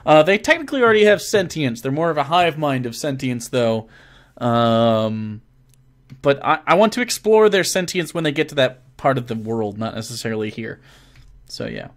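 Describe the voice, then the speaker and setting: A man's voice: medium pitch, sing-song, normal speed. A young man chatting casually on a live stream, reading out viewer messages and answering them with profane, rambling jokes. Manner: reads donations and chat messages aloud. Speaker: game master answering viewer questions.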